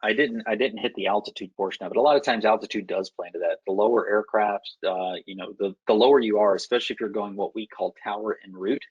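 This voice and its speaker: An American man working as an air traffic controller, explaining procedures in a measured, instructive way with deliberate pauses. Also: on the low side, husky, dry recording, highs cut off. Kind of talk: explanatory Q&A talk to pilots.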